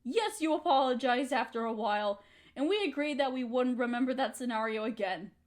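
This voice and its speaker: Actress for bitter, hurt young woman, high-pitched, emotional audio drama monologue, confrontational and accusing. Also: expressive, brisk. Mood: hurt, resentful. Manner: emotional, accusatory, pointed rhetorical questions. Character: hurt, resentful young woman confronting her longtime roommate.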